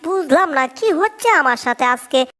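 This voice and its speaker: Very high-pitched, exclaiming little cartoon mouse. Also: animated, moderate pace, fairly bright tone. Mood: panicked. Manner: exclamatory, self-muttering, wailing in colloquial speech. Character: cheeky, greedy little cartoon mouse, easily panicked. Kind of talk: comic cartoon dubbing.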